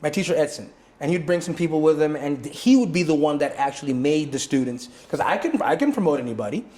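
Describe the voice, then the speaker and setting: A man's voice: a little high, lively intonation, quick pace, mellow. A middle-aged man from Jamaica, a martial arts teacher telling his story in an interview, acting out what others said in shifting voices, with many restarts. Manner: acts out other people's lines in changing voices, many restarts. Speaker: martial arts instructor and guest. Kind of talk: animated storytelling in conversation. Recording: echoey room.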